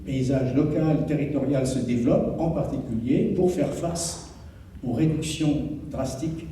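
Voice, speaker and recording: A man, a municipal official, gives a formal, explanatory introduction to a public meeting in measured, hesitant phrases. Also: medium pitch, mellow. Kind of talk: formal public address, partly improvised. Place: echoey indoor meeting room. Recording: echoey hall, distant mic, faint noise.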